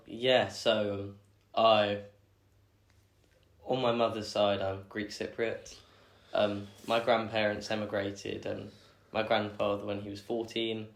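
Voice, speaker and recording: A young man, answering interview questions in a thoughtful, measured way with many pauses and fillers. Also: lowish voice, vocal fry. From England. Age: young adult. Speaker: guest. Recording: echoey room.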